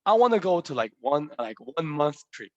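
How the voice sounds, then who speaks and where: A man's voice: a little high, sing-song, moderate pace, no echo, muffled recording. A young man from Korea with a Korean accent, a native Korean speaker teaching a language lesson in English, playful and quizzing his viewers with repeated questions.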